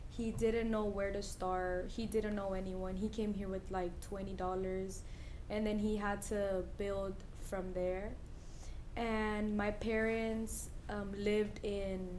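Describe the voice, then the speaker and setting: A young American woman speaks in an earnest, rambling way, stopping often on ums and restarts. A faint low rumble sits in the background. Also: a little mellow. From United States. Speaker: student interviewee. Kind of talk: documentary interview.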